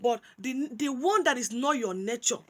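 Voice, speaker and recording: A Nigerian woman with a naturally high voice speaks in a casual, opinionated commentary style, mixing English with Pidgin. Her delivery is animated and repetitive, full of restarts and emphatic asides. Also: normal speed. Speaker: commentary vlogger.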